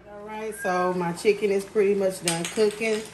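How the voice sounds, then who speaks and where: A woman chats easily as she cooks, calling her viewers "y'all." Her voice sits at a middle pitch and bounces off the hard walls of a home kitchen. Pans sizzle and pots clatter clearly under her words, picked up by a phone camera held over the stove. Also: moderate pace. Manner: relaxed, chatty, addresses viewers as y'all. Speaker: home cook vlogger. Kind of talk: casual cooking narration. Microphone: phone camera filming over the stove. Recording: echoey kitchen with cooking noise.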